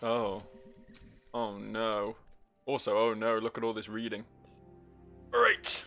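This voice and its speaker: Low, gravelly man joking over a game. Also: completely flat delivery, a little slow, soft background music. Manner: rambling, dryly silly. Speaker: gaming video host. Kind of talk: joking, sweary playthrough commentary.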